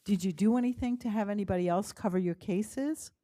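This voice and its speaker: A woman's voice: mid-range voice, a little fast, mellow. A female appellate judge in a courtroom, pressing counsel with pointed questions. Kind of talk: questioning counsel at oral argument.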